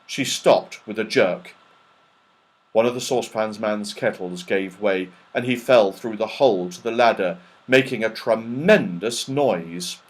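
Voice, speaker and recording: A man narrating a children's storybook in an expressive, dramatic, animated way. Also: fairly low pitch, measured pace. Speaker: audiobook narrator.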